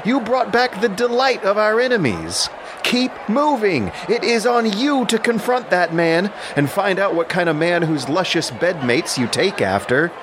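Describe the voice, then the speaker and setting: A man's voice: high voice, expressive, measured pace, noisy background, pitched above the performer's own voice. A man playing a contemptuous Trojan warrior prince scolding in an audio drama. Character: Hector, scornful Trojan warrior prince berating his brother.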